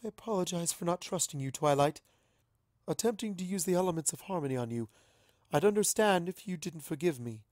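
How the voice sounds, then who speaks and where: A dignified pony ruler princess played by a man, lifted above his natural pitch. She speaks with gracious, formal, measured politeness in a dry fantasy story reading. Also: animated, a little mellow, dry recording, lightly acted. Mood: apologetic.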